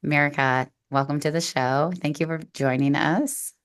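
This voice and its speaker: Low-voiced, upbeat woman podcast host. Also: fairly even intonation, moderate pace, clear, resonant tone. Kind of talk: podcast interview.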